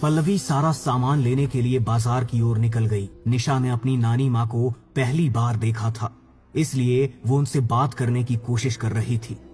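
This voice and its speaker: A man's voice: mid-range voice, fairly even intonation, moderate pace, darkish tone. A man narrating a scripted horror story as its storyteller. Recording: background music.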